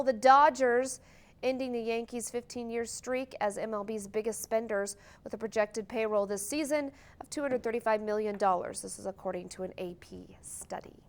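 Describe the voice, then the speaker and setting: An American woman anchoring a morning newscast, reading scripted news in a TV studio. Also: a little high.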